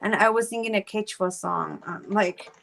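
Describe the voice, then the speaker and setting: A woman from Peru, speaking English as a guest in a casual podcast conversation and pausing often with fillers. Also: medium pitch, gravelly, fairly bright tone. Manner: hesitant, many fillers.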